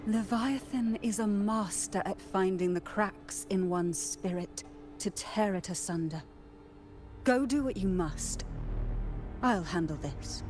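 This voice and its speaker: An actress playing a composed, commanding noblewoman in a video game, speaking in a firm, measured way. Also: medium pitch, lightly acted. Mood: calm, resolute. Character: composed, authoritative noblewoman who commands her family's followers. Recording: game music clearly behind the voice.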